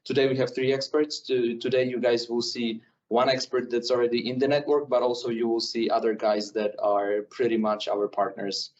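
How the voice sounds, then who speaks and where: A man's voice: medium pitch, little pitch movement, moderate pace, hardly any pauses, lo-fi sound. Distant man introducing an event. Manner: casual, repeats filler phrases. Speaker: event organizer.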